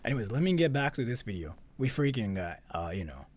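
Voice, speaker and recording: A man's voice: mid-range voice, very animated, quick pace. A man from Japan with a Japanese accent, chatting casually and jokingly as a livestream host, informal and rambling.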